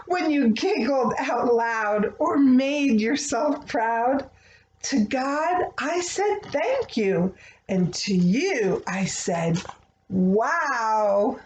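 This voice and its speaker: A woman reading a rhyming children's picture book aloud, with expressive, deliberate phrasing meant for young listeners. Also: on the high side, lo-fi sound. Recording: roomy echo, faint background noise.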